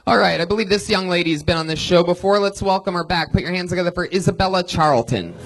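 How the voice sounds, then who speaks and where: A man's voice: high voice, fast. Brash male host of a live comedy show. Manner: loud hype announcing, joking banter. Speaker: podcast host. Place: comedy club main room with a live audience.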